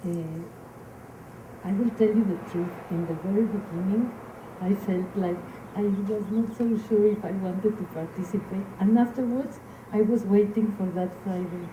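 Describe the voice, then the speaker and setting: A Spanish-speaking woman with a Mexican accent, a poet addressing a live gathering in halting speech with many fillers and restarts. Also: medium pitch, steady tone. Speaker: invited poet. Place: community hall. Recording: echoey hall, noisy room.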